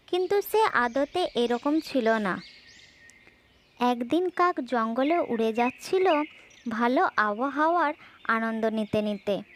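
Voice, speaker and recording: A woman's voice: sits high, slight background noise. A woman telling a children's fable as a scripted storyteller.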